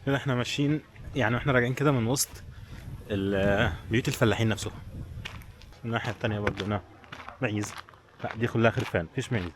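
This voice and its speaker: A man's voice: lowish voice, rapid-fire, pauses often. A man from Egypt with an Egyptian accent, chatting casually to viewers as a travel vlogger. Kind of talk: casual vlog chat to viewers. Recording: busy crowd noise around the voice.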